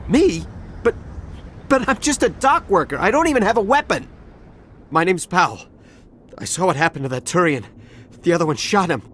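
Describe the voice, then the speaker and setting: A stammering, jittery dock worker. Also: male voice, sits high, expressive, normal speed, long pauses, fairly bright tone, slight background noise. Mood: nervous. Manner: stammering, defensive. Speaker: survivor witness. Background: game score music.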